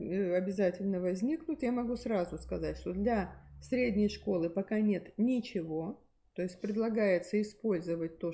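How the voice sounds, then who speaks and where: A woman's voice: mid-range voice, vocal fry, warm tone. A middle-aged woman giving an unscripted presentation on textbooks to fellow teachers, explaining with frequent fillers and restarts. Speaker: presenter at a teachers' methods meeting.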